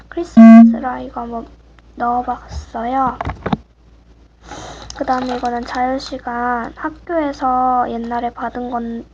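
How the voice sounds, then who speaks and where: A teenage girl softly showing off swap items for viewers, her voice a little rough and her manner apologetic. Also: high voice. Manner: soft-spoken, apologetic, hushed at times. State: voice a bit rough. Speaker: vlogger. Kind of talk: casual show-and-tell of swap items. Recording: muffled home recording with clear background hiss.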